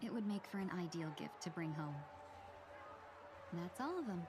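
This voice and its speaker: A woman's voice: fairly low pitch, lively intonation, normal speed, noisy background. A woman playing a refined, courteous young woman in a video game, speaking politely and formally with composure. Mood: gracious, pleased.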